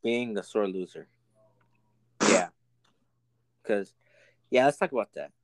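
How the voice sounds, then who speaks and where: Laid-back young man, lower dry voice. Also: animated, slow, dry recording. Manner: easygoing, laughs often, filler-heavy. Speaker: podcast co-host. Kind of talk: casual chat.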